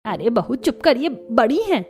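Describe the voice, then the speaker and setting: A woman's voice: on the high side, big pitch swings, moderate pace, a little mellow. A woman voicing a stern, traditional village mother-in-law in a cartoon story, bossy and scolding. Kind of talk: Hindi cartoon story dialogue.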